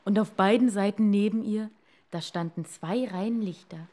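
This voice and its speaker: A woman storyteller narrating a bedtime fairy tale expressively, with deliberate pauses and hushed moments. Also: mid-range voice.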